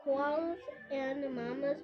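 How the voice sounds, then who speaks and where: A woman's voice: high voice, fairly even intonation, slow pace. A young girl reading a children's picture book aloud, stumbling over words and sounding them out, with casual asides. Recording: muffled lo-fi home recording with prominent music. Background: music.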